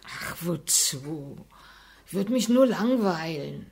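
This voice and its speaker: A woman's voice: deep voice, animated, slow pace, gravelly, darkish tone, breathy edge, full character voice. An actress playing a plain-spoken, loyal household servant woman with homely, grumbling good nature in a radio drama. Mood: homely, pleased.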